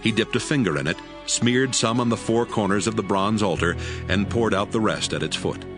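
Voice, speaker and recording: A man reading scripted scripture narration for an audiobook in a measured, solemn way. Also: on the low side. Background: music.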